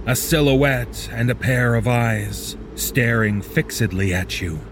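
A man reading a scripted second-person horror story in a measured, deliberate manner. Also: medium pitch, background noise.

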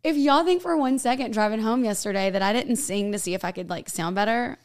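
A young woman with a raspy, gravelly voice, chatting animatedly as a podcast co-host while getting over hoarseness. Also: fairly high pitch, fairly quick. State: hoarse, recovering voice. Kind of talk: casual chat.

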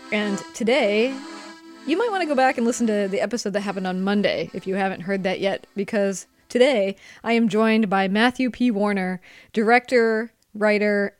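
A middle-aged woman hosting a podcast in a casual, chatty interview style. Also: mid-range voice. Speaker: podcast host.

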